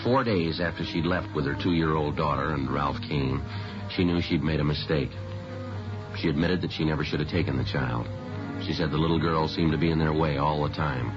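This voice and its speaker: Very deep-voiced deadpan detective. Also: male voice, moderate pace. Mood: flat, matter-of-fact. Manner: clipped, deadpan, persistent. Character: stern, matter-of-fact police detective and narrator. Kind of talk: 1950s radio crime drama acting. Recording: dull vintage radio recording with music.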